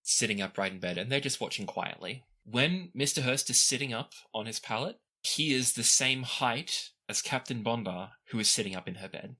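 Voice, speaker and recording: A man runs a tabletop game as its narrator. His voice sits at a middle pitch with a bright edge, and he describes scenes in measured, improvised phrases, pausing as he goes. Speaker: game master.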